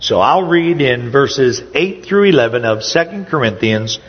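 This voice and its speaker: A man giving a sermon to his congregation, his voice a little hoarse, speaking conversationally with frequent pauses and fillers. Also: medium pitch, expressive, in a large hall, dull audio. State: slightly hoarse. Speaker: preacher. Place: church sanctuary.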